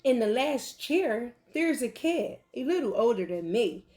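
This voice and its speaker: A woman reading a picture book aloud like a teacher, with animated, deliberate delivery and questions for young listeners. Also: on the high side. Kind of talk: expressive children's read-aloud with questions to listeners.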